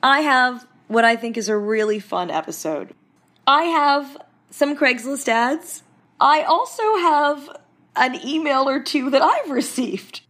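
Woman, comedy podcast host, dry sarcasm, slight rasp, worn out, voice on the high side. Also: slow, fairly bright tone. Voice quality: getting raspier. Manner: dry, mocking, puts on silly voices for bits. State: exhausted. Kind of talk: sarcastic comic monologue.

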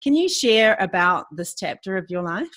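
A woman's voice: mid-range voice, very animated, normal speed, no room sound. Gushing podcast host woman, dry close sound. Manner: warm, gushing, many fillers. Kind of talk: podcast introduction and interview.

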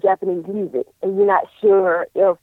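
A man's voice: high-pitched, flat delivery, no room sound. Man in his thirties on a tinny phone line. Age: 30s. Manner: easygoing, thoughtful, halting with fillers. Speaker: guest. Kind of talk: casual interview chat.

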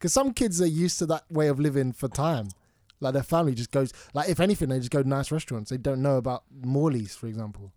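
A man's voice: mid-range voice, quick pace, dark tone, no room sound. A young man with a London accent, chatting casually in slang and often chipping in with questions. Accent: London English. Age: young adult. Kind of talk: casual chat.

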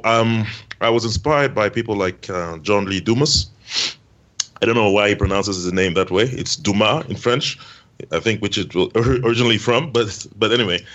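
A man's voice: low voice, a bit bright, dull audio. A man answering interview questions as a podcast guest, hesitant, with many ums and restarts.

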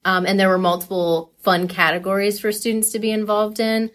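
A woman's voice: medium pitch, little pitch movement, a little fast, fairly bright tone. Candid, upbeat woman coach in interview. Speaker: forensics coach being interviewed. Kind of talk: casual, thoughtful interview answers.

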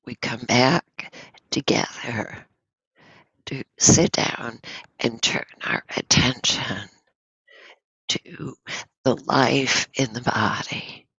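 A woman leads a guided meditation at an extremely slow, unhurried pace. Her voice is husky and creaky with a cold, and she speaks gently, leaving pauses between short phrases. The recording is close and slightly dull. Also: a bass voice, no room sound. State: has a cold. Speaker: meditation teacher.

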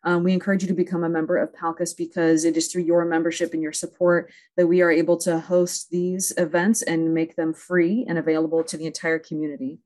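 A woman's voice: fairly low pitch, little pitch movement, brisk, hardly any pauses, darkish tone. A woman hosting a virtual conference panel over a video call, friendly and talking with frequent fillers. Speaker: conference moderator.

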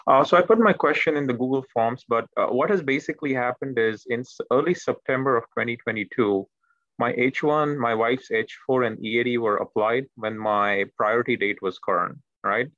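A man on a dull, lo-fi call line with no room sound. His pitch is average and he lays out his situation as a caller asking for advice. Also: normal speed, fairly bright tone, dry recording. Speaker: caller asking about visa case. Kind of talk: explaining a case on a call. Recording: dry, dull lo-fi call audio.

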